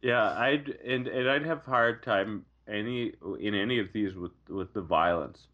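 A man's voice: on the low side, normal speed, dull audio. A man co-hosting a podcast in casual chat, hedging and restarting as he thinks aloud. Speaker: podcast co-host. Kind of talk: casual chat about a TV show.

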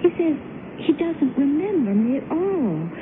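High-voiced anxious wife, old radio. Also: female voice, big pitch swings, measured pace, narrow, tinny audio. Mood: troubled, pleading. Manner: hesitant, stammering, pleading. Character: anxious, devoted young wife of a returned husband. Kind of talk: 1970s radio drama acting. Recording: noisy, thin, rumbling old radio broadcast.

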